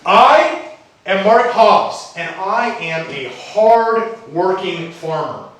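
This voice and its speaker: Man playing a feisty colonial farmer, high-pitched, echoey. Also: animated, a bit bright, heavily acted. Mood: proud, indignant. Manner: theatrical, exclamatory, drawn-out emphasis. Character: humble but feisty colonial American male farmer, rebellious. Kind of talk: live children's stage play acting. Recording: echoey hall, distant microphone.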